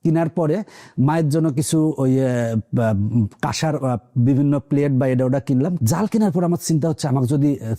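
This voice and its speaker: A man's voice: mid-range voice, normal speed, dark tone. A man in his thirties from rural Bangladesh, with a Bangladeshi accent, recounting his life story conversationally as an interview guest. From rural Bangladesh, near the Indian border. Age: 30s.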